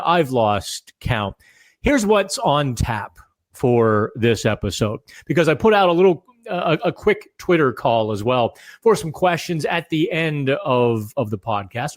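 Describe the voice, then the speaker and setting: A man hosting a casual solo podcast from a hotel room, slightly raspy and rambling, with fillers and restarts. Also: a little high. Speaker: podcast host.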